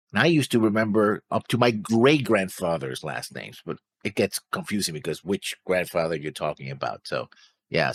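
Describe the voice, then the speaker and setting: A man's voice: lowish voice, no echo. A man hosting a reaction show, chatting casually in a rambling, explanatory way. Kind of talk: casual chat.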